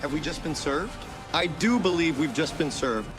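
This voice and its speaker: Over prominent music comes a man acting a motion-comic ghost hunter, a sardonic schemer. His voice sits a little high for a man. He drops dry wisecracks in a laid-back way. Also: lively intonation, normal speed, close to an everyday voice. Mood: deadpan scheming. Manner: dry, sarcastic. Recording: loud music bed under voice.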